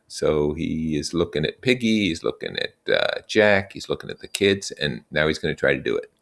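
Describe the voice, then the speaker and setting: A man reading a story aloud and explaining it with casual, expressive comments. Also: very deep voice, gravelly. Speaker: reader and teacher explaining a novel.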